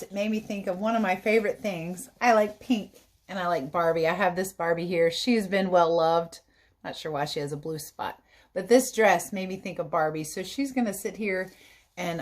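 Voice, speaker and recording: A woman's voice: on the low side, normal speed. Woman reading a picture book to children. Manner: instructive, simply explains things to young listeners. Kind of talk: read-aloud storytelling with explanatory asides for children.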